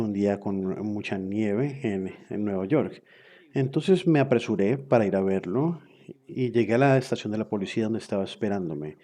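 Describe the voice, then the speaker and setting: Low, dark-voiced male interpreter. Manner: hesitant, with frequent fillers and self-corrections. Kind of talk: simultaneous interpretation of a webinar lecture.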